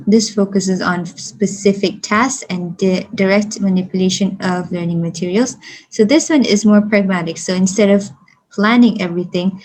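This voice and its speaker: Young female student from Malaysia, class presenter, mid-pitched, explains steadily with fillers. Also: darkish tone, poor audio. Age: young adult.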